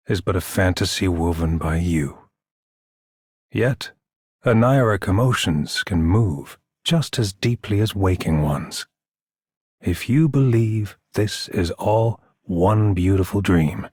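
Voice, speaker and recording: A man voicing a solemn, dreamy philosophical mystic in a video game, with a husky voice. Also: deep voice, dry recording, clean studio sound, full character voice. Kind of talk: video game character voice acting.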